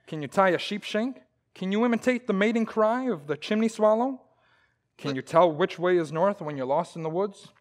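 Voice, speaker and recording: Man playing blustering radio-comedy husband, high-pitched, very animated, folksy, boastful, grumbling. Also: normal speed. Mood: scornful challenge. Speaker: actor in community theater radio play. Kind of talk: old-time radio comedy acting.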